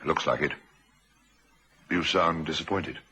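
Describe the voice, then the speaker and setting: Deep-voiced, inquisitive police doctor. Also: male voice, unhurried, sharp tone, slightly rough, heavily acted. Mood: matter-of-fact. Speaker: radio drama actor. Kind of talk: vintage radio crime drama acting. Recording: vintage radio drama recording.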